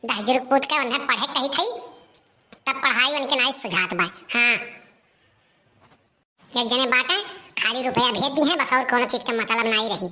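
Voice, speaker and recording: A woman acts out a hot-tempered village mother from a comic cartoon. Her voice is high and piercingly sharp, with an Awadhi accent. She rants, complains and curses like someone worn out by chores and her wayward child. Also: moderate pace, long pauses. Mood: grumbling. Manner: ranting, complaining, cursing.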